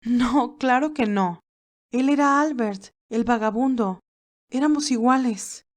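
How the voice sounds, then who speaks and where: A woman's voice: a little high, slow pace, voice pushed up. A woman voicing an earnest, hurt young nurse who protests emotionally in an audio drama. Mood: insistent. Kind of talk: audio drama character acting.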